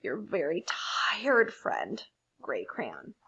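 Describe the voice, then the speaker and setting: An overburdened picture-book crayon, acted by a woman, grumbles wearily. Words are stretched out slowly, and the pitch swings between low sighing tones and high protest. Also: huge pitch swings, vocal fry, fairly bright tone, breathy edge, muffled recording, full character voice. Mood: weary exasperation. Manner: weary, drawn-out. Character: tired, overburdened gray crayon, grumbling and exasperated.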